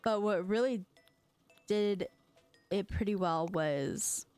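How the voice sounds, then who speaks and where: A young woman streamer, born in China with Chinese as her first language, chats casually and rambles, doubling back and filling gaps with "like" and "um." Her voice sits in an ordinary middle pitch. Faint music plays underneath. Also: slow pace, darkish tone, smooth. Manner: rambling, chatty, lots of fillers and restarts.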